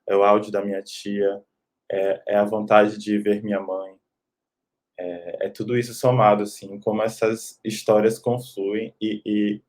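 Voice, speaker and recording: A man's voice: on the low side, a little mellow. A man from Salvador, a film director speaking reflectively and hesitantly on an online panel over a video call. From Brazil, Salvador. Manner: reflective, hesitant, many fillers.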